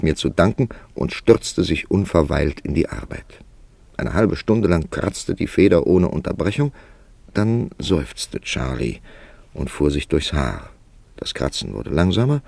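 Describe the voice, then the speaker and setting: Deep, gravelly, wry writer-narrator. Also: male voice, a little mellow, no echo, full character voice. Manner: measured, wry, dramatic storytelling. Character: older established male writer, wry, worldly narrator of his tale. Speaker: narrator and lead character. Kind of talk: literary radio play narration and dialogue.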